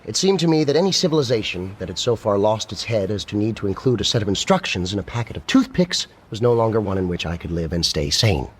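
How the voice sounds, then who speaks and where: An actor playing a gentle, earnest, eccentric male scientist in a radio comedy, husky and sincerely matter-of-fact about absurd things. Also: on the low side, very animated, quick pace, hardly any pauses, slight background noise. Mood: serene, matter-of-fact. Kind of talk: radio comedy drama acting.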